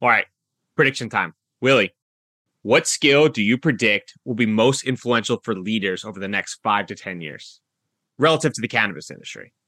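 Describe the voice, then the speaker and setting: Bright-voiced male podcast host. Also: on the low side, moderate pace, no room sound, studio quality. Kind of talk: conversational interview hosting.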